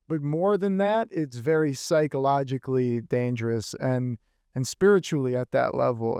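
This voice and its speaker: A fitness coach on a podcast, speaking with a smooth, resonant tone set a little higher than most men. He answers earnestly, working out his points in measured phrases. The sound is close and dry. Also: darkish tone, no echo.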